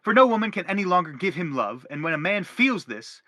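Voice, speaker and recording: A man's voice: high voice, very animated, fairly quick, sharp tone, no room sound, clean studio sound, full character voice. An actor playing a middle-aged father in a stage play, formal and declamatory as he anguishes and justifies himself. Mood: anguished. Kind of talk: theatrical stage play acting.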